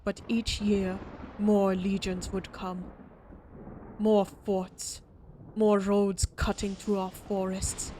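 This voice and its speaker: A somber, defiant ancient warrior woman played by a voice actress tells her tale in a dramatic audio roleplay. Her mid-pitched voice is grave and deliberate, leaving weighty pauses. Clearly audible ambient noise runs behind her. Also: a little mellow, close to an everyday voice. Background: ambient sound effects.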